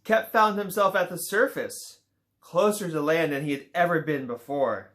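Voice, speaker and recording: A man reading a children's picture book aloud in a relaxed way, with small slips and playful asides. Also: fairly high pitch, moderate pace. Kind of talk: read-aloud children's story.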